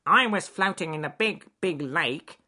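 A man's voice: a little high, expressive, measured pace, sharp tone, voice pushed up, full character voice. A man voicing a chatty, frightened lame duck who quacks and groans as he talks, in a children's story. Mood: frightened, complaining. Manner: quacking and groaning between phrases.

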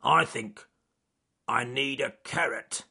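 A man voicing a big, sulky male bear who grumbles slowly and feels sorry for himself in a storybook reading. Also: mid-range voice, vocal fry, bright, forceful tone, slightly rough, pitched above the performer's own voice, full character voice. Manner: slow, grumbling, self-pitying.

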